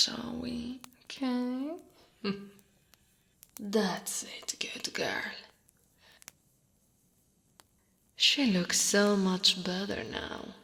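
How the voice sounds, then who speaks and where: Woman as a slow, teasing, tender orc guardswoman. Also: medium pitch. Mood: doting, soft. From Italy. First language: Italian. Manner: soft, breathy, slipping into whispers, teasing. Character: short female orc royal guard, gently dominant, teasing, doting. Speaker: ASMR roleplay performer. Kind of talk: intimate ASMR roleplay.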